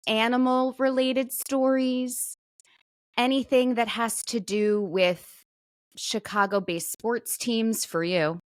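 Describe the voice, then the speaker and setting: A woman co-hosting a podcast, running the show in teasing, deadpan banter. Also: on the high side, slow, dry recording. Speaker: podcast co-host. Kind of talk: casual banter.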